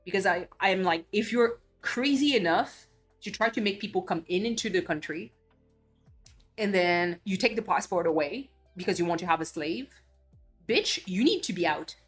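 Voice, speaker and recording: A woman's voice: lowish voice, lively intonation, moderate pace, soft background music. A woman from Belgium, a streamer chatting casually and ranting bluntly with cursing and many restarts. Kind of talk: casual chat.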